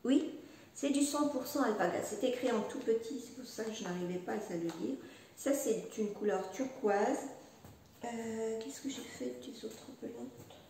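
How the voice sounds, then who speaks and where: A woman talks slowly and warmly about her knitting, full of hesitations and little restarts as she rambles on. Her voice sounds far from the mic, in a very echoey room. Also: on the high side, slight background noise. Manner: hesitant, many "euh" fillers and restarts; rambling, chatty, self-deprecating. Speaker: knitting and crochet podcaster. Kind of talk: casual chat showing knitwear.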